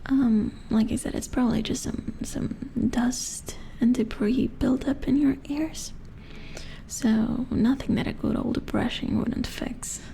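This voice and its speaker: A woman playing a calm, matter-of-fact robot repair engineer in a soft-spoken ASMR roleplay, murmuring as if thinking aloud in a breathy whisper. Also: on the high side, takes it slowly. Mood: matter-of-fact, explaining. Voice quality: breathy whisper with creaky edges.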